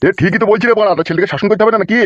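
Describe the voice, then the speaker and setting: A man's voice: high voice, rapid-fire, a bit bright, muffled recording. A man playing a cantankerous, foul-mouthed village father in a comic cartoon, scolding bluntly. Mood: angry scolding.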